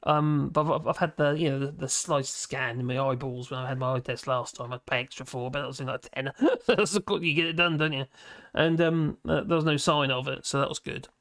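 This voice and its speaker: Gravelly, rambling man in his fifties. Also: mid-range voice, brisk, fairly bright tone, no room sound, studio quality. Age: 50s. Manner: chatty, chuckling, self-mocking asides. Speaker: livestream host reading chat. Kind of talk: casual rambling chat. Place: home office at his desk.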